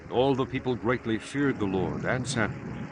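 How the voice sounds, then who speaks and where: A man's voice: mid-range voice, animated, normal speed, background noise. A man narrating scripture as the scripted narrator of an audio Bible drama. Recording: prominent music behind the narration.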